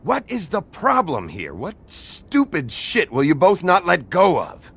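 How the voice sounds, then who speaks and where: An actor playing a gruff, weary, impatient sheriff in a video game, talking in a terse, no-nonsense way. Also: male voice, high voice, huge pitch swings, unhurried, fairly bright tone, heavily acted. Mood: exasperated. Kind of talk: dramatic video game acting.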